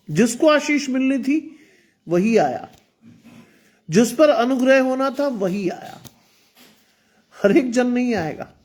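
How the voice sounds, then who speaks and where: High-voiced preacher man with sore throat. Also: darkish tone. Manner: earnest, exhorting, repeats phrases. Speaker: pastor. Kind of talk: online sermon.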